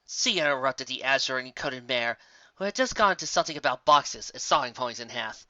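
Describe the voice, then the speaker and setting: A narrator reads a story in a measured, deliberate way. The voice is bright and forceful, on a dull recording with no room sound. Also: mid-range voice, expressive, dry recording. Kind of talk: scripted fan fiction reading. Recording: dull lo-fi close dry recording.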